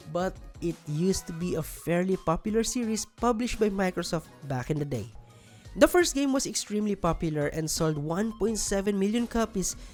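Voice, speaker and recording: Low-voiced woman narrating a countdown. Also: darkish tone. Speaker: host of a video list show. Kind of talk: scripted narration, countdown commentary.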